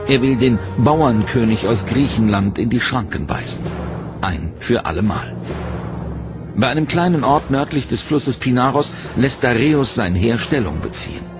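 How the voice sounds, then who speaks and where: A man narrates a historical documentary in a measured, dramatic storytelling voice. His pitch sits in an ordinary middle range. Loud orchestral-style music plays clearly beneath him.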